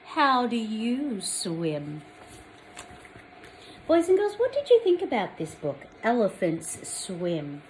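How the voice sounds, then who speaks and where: An Australian woman reading a picture book aloud to young children, expressively, pausing to put questions to them. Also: a little high, a little hiss or hum, poor audio. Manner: addresses young children directly, expressive storytelling.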